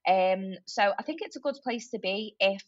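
A woman's voice: mid-range voice, moderate pace, dry recording. Casting: young Liverpudlian woman, gabby and upbeat guest, dry close sound, slightly dull lo-fi audio. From Liverpool, England. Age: young adult. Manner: chatty, lively, many fillers like "like" and "um". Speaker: tech recruiter, podcast guest. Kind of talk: casual interview chat.

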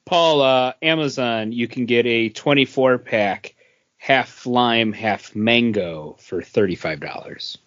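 A man's voice: medium pitch, takes it slowly, fairly bright tone, no room sound, dull audio. A man co-hosting a podcast, chatting casually. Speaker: podcast co-host. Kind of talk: casual chat.

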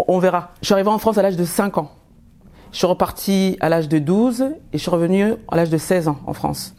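A woman in her forties from Cameroon who stutters, telling her life story in a podcast with halting, repeated words. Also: lowish voice, dark tone. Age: 40s. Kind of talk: personal testimony podcast.

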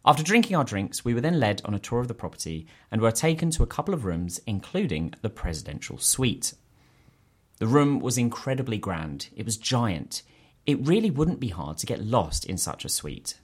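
A man hosting a podcast, reading a listener's letter aloud in an animated, quick storytelling way. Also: medium pitch, slightly rough. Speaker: podcast host.

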